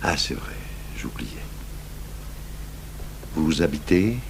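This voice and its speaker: A very deep, gravelly middle-aged detective speaks with calm, fatherly patience. His measured, courteous delivery comes from an old radio play on noisy, humming tape. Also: exaggerated voice. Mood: patient, probing. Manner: measured, courteous questioning and recounting. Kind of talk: 1980s French-language radio drama acting.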